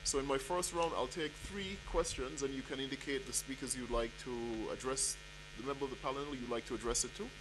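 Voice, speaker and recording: A man's voice: medium pitch, steady tone, brisk, over music, poor audio. A man moderating a conference panel, handing over to the audience in an orderly way with brief uhs. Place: conference hall.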